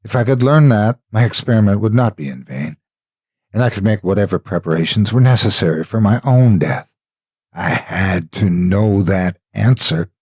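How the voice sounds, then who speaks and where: A man with a husky voice, a storyteller delivering scripted narration in deliberate, theatrical phrasing. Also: on the low side, lively intonation, darkish tone, no echo, studio quality. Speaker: horror story narrator and host. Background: faint music.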